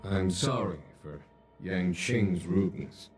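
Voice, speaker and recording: Low-voiced, calm game general. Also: male voice, slow. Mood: calm, apologetic. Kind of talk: video game voice acting. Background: game music.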